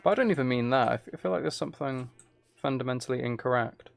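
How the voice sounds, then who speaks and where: A man with noticeable fry in his mid-pitched voice talks casually through a game. He thinks aloud in loose fragments, correcting himself and chatting to viewers as he goes. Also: fast. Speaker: streamer playing a building game.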